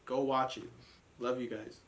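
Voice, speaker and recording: A man's voice: mid-range voice, flat delivery, measured pace, background noise. A man co-hosting a casual reaction podcast, blunt and profane, ranting with frequent laughs. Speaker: podcast co-host reviewing a trailer. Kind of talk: casual group reaction chat.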